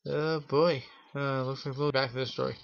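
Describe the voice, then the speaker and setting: A man hosting a show and improvising a spooky story for his viewers, speaking haltingly in short phrases with pauses. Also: medium pitch, level tone, gravelly, dull audio, slight background noise. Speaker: host telling a scary story.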